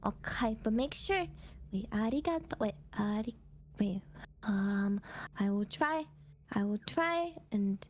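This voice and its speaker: A woman chatting playfully on a livestream in an excitable, childlike way, often repeating words and exclaiming. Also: mid-range voice, takes it slowly, slight background noise. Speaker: streamer co-hosting a live stream. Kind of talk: casual playful livestream chat.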